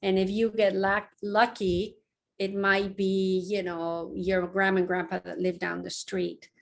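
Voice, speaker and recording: A woman's voice: medium pitch, flat delivery, unhurried, vocal fry. A middle-aged woman from Mexico, a psychologist giving an online talk to parents, speaking casually with fillers and restarts. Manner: conversational, many fillers and restarts. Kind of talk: lecture-style online talk. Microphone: online talk, close mic.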